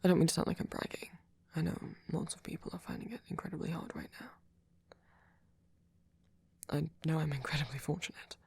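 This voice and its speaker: A man playing a gentle, doting centuries-old vampire boyfriend in an ASMR roleplay, speaking softly and haltingly, often in a whisper. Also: fairly high pitch, dry recording, heavily acted. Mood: doting, reassuring. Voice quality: soft, breathy, often whispered. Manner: halting, polite, fussing, softly reassuring.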